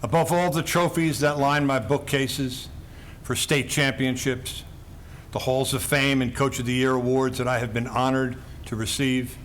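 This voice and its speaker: An older man delivers a prepared campaign statement in a formal, emphatic way, stressing each item in a list. His pitch sits in an ordinary middle range, and a faint hiss runs under the voice. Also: fairly even intonation, moderate pace, a bit bright. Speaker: council candidate.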